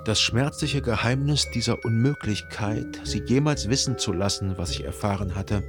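Man acting a jealous grieving gentleman, low voice, introspective radio drama monologue, clear music underneath. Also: close to an everyday voice. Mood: anguished brooding. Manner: introspective, measured, formal phrasing. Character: brooding, jealous, grieving gentleman narrator obsessed with a dead lover.

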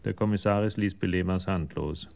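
An actor as the sober, detached storyteller narrating a scripted radio crime drama. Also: male voice, sits low, brisk, vocal fry, a little mellow.

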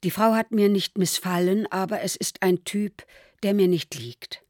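A woman reading as an elderly mother keeping a wartime diary, calm and measured, in a documentary. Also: mid-range voice, no echo, studio quality, lightly acted. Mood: sober.

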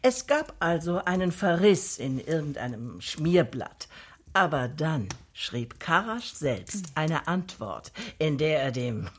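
Radio drama role: gushing, self-righteous literary society lady, highly animated, emphatic delivery. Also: takes it slowly, slight background noise, exaggerated voice. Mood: smug triumph. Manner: effusive, emphatic. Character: gushing, self-righteous female book-club devotee of party literature. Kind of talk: German radio drama acting.

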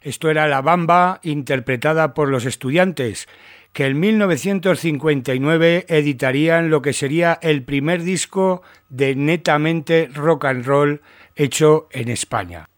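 Higher-pitched Spanish man hosting radio, dry sound. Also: unhurried, dry recording. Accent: Spanish from Spain. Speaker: radio show host. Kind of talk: casual music radio presenting.

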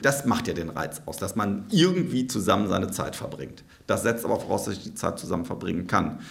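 A man with a very deep voice and a strongly gravelly, creaky edge explains his subject. He talks in a methodical way and lists examples one after another. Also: lively intonation, fairly quick. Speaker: university researcher. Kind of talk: expert interview.

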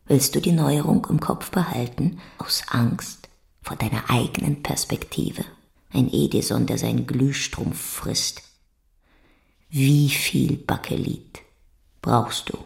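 An actress playing a blunt, mocking, earthy warehouse worker in a radio play, speaking deliberately and often in breathy half-whispers. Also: female voice, rumbling low, a little mellow, full character voice. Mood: intense, defiant. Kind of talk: stylised radio play acting.

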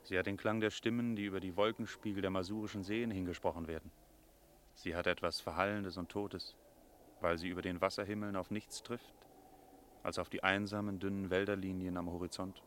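An actor playing an eerie, mystical stranger from Masuria in a radio drama, speaking in solemn, poetic phrases. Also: male voice, low voice, level tone, a little fast, fairly bright tone, slight background noise. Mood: haunted, mystical. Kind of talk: German radio drama acting.

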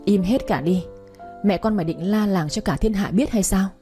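Một phụ nữ đóng ông bố nghiêm khắc. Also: female voice, mid-range voice, normal speed, over music, natural voice. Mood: stern. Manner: stern, clipped. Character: stern older father, weary and firm.

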